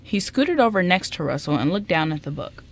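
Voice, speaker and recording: A woman's voice: on the low side, very animated, a little fast. A woman reading scripted narration for an amateur radio drama as its narrator.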